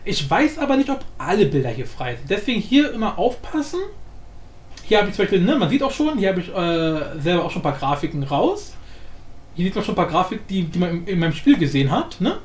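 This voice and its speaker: A man giving a casual software tutorial, rambling informally in slang and thinking aloud. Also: high-pitched, very animated, fast. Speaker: tutorial host. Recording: echoey room, faint background noise.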